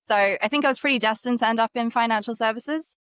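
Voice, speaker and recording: A woman's voice: fairly high pitch, fast talker, fairly bright tone, clear, resonant tone, no echo. Aussie woman in her 30s telling her career story, upbeat, dry mic. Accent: Australian. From Australia. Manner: articulate, upbeat, laughs lightly while telling stories. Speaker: fintech executive guest. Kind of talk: conversational interview answers.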